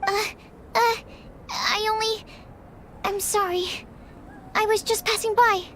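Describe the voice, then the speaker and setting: Timid, high-voiced young assistant. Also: female voice, steady tone, slow, fairly bright tone, slight background noise, full character voice. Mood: flustered, apologetic. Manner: hesitant, stammering, polite. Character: timid, apologetic, earnest young female alchemy assistant. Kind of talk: video game voice acting.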